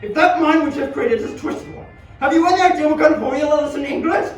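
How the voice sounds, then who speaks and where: Young man as high-voiced, alarmed professor. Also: fast talker. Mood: panicked outrage. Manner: melodramatic, preachy. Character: alarmed, moralizing male professor, horrified by a monster. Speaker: amateur student performer. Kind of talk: amateur radio play acting. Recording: very echoey, distant, music behind.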